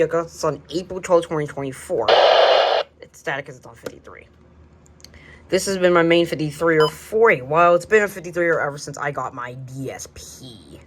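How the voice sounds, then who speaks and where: A hobbyist giving a casual, unscripted tour of a collection, rambling and hesitant, often trailing off, recorded at home. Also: mid-range voice. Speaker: radio collector presenting a collection. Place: indoors at home, by shelves. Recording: noisy amateur home recording.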